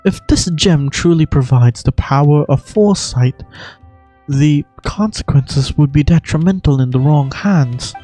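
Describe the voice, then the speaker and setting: An amateur voice actor playing a calm, courteous female guardian from a video game, speaking in a measured, cautioning way. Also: mid-range voice, no room sound, soft background music, natural voice. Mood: composed.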